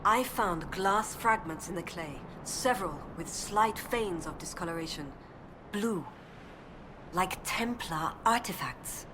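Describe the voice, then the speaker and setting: Fantasy game role: earnest elf research assistant, female, young, lower register, scholarly and precise, noisy backdrop. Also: animated, slow pace. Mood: thoughtful, explanatory. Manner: precise, scholarly, thoughtful delivery. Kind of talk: video game voice acting.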